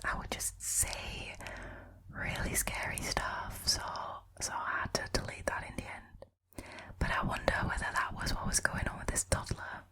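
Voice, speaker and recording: A woman speaking in a breathy whisper, telling short stories and chatting casually about them in a relaxed ASMR style. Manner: informal, chatty, amused. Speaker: host reading and reacting to short stories.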